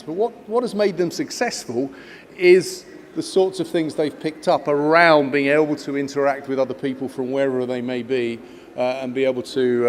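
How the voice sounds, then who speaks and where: A man's voice: medium pitch, a little hiss or hum. A man in his fifties, raised in Kenya, giving a conference speech with many fillers and restarts. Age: 50s. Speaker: guest speaker at an education conference.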